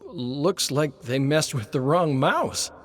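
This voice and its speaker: A dismissive young boyfriend on a hike, voiced by a male narrator. He brushes off worries casually, with lively pitch. It is dialogue from a horror story, with faint noise behind. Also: slow, exaggerated voice. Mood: joking.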